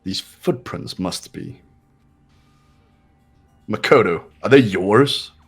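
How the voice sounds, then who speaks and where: A man's voice: on the low side, animated, takes it slowly, husky, faint music behind, exaggerated voice. A man playing a condescending young male genius in an amateur game dub, smug, commanding and formal in his delivery. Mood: analytical, cool. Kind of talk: amateur voice acting in a game playthrough.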